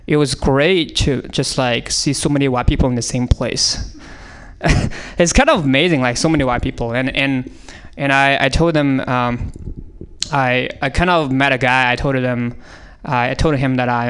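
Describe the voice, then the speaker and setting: A man with a Chinese accent riffs on comedy prompts in a radio studio. His voice is clean and smooth, at an ordinary middle pitch. He rambles, restarts his sentences and leans on fillers. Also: fairly even intonation, unhurried, fairly bright tone. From China. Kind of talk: improvised comedy on audience prompts.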